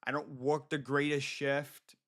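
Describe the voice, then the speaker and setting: An American man from Michigan chatting casually to his livestream viewers, halting and often repeating himself. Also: mid-range voice, level tone, a bit bright. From Michigan, United States. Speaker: livestream host.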